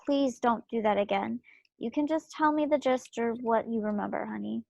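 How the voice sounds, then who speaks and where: A woman playing a kind, gently chiding woman in an amateur play reading over a video call. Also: on the high side, normal speed, gravelly, no echo. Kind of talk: amateur play table read. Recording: muffled dry video call.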